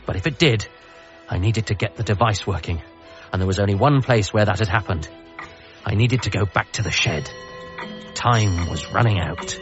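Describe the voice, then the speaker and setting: A man's voice: lowish voice, moderate pace, highs cut off, music in the background, lightly acted. An actor playing a frantic, paranoid son who earnestly believes he must save the Earth, in a radio comedy drama. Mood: earnest, urgent. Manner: earnest, conspiratorial, self-deprecating. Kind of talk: radio comedy drama, first-person narration and dialogue.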